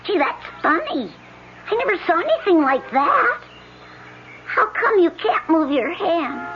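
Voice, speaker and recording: A very high, piping little boy in a 1970s radio play. He is chatty and nosy, asking blunt questions. The sound is a dull old broadcast with faint music behind. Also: sing-song, a little slow, exaggerated voice. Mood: eager, curious. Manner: blunt, inquisitive. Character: chatty, curious, pestering little boy at the park. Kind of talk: 1970s radio drama acting.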